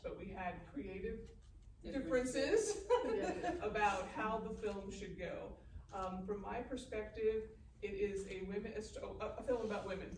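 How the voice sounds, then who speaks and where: A woman from Northern California speaking on a filmmakers' panel, telling stories expressively and acting out the dialogue. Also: medium pitch. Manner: expressive storyteller who acts out dialogue. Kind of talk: animated anecdote and audience Q&A. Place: echoey indoor live event room. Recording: echoey room, distant mic, noisy.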